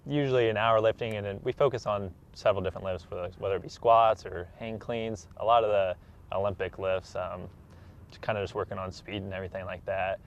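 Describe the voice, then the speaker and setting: A man's voice: lowish voice, steady tone, a little fast, dull audio. A young American man, a college athlete, answering interview questions in a casual, plain-spoken, earnest way. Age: young adult.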